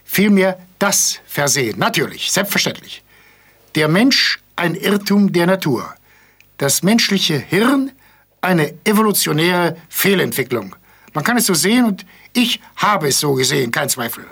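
Radio play: verbose old intellectual, husky, creaky voice, animated swoops, pedantic and absent-minded. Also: male voice, sits high, unhurried, exaggerated voice. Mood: pedantic, flustered. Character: elderly hard-of-hearing male author, pompous and rambling. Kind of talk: German radio play acting.

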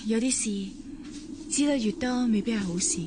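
A woman's voice: on the high side, normal speed, noisy background, close to an everyday voice. An actress playing a guarded, secretive young woman in a radio drama, with a Hong Kong Cantonese accent. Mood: resigned, guarded. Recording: music behind the voice.

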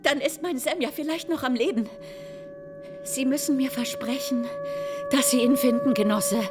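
A woman's voice: sits high, moderate pace, music in the background, lightly acted. An actress playing an old, grieving mother in a German radio drama, tearful, imploring and emotional. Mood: desperate insistence. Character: grieving, pleading old mother, hardened survivor.

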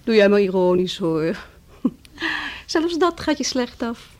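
A scornful, bitter wife. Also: female voice, mid-range voice, very animated, measured pace, darkish tone. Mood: scornful mockery. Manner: scornful, taunting. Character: bitter young wife, scornful, sharp-tongued, proud. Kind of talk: Dutch radio play acting.

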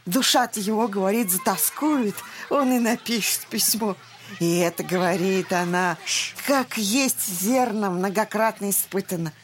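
A woman's voice: medium pitch, unhurried, a little hiss or hum, close to an everyday voice. An actress playing an older, worried peasant mother in an audio drama, plaintive and folksy. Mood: anxious, confiding. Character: worried peasant mother of an absent soldier son.

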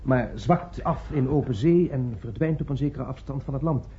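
Casting: male actor as careful, deferential research assistant, very mellow dark tone, mid-pitched, formal. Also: moderate pace, lightly acted. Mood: earnest reporting. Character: hesitant, deferential male scientific assistant reporting to superior. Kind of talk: radio drama dialogue. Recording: muffled, slightly noisy old radio recording.

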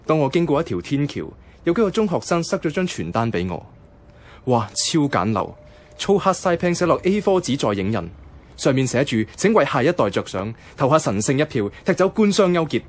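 An actor with a Hong Kong Cantonese accent playing a wavering, lovelorn young man who narrates a radio drama in a colloquial, introspective way. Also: medium pitch. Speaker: lead narrator.

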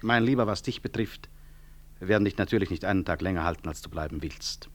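Guy acting as a low voiced hospital boss in an old radio play, dry and ironic, very composed. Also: fast talker, natural voice. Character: sardonic, composed senior male hospital director. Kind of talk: 1960s German-language radio drama acting.

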